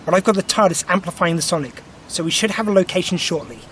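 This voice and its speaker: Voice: medium pitch, quick pace, fairly bright tone, slight background noise, natural voice. An actor playing a breezy, eccentric time traveler in an audio drama, explaining things in a wry, glib way. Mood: brisk, confident.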